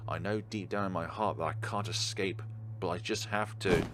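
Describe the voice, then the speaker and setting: Low-voiced man reading dramatically, noisy recording. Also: steady tone, moderate pace. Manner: theatrical, emphatic reading with interjected reactions. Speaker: let's player reading a visual novel aloud. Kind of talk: dramatic read-aloud narration with casual gameplay commentary. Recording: clearly noisy background.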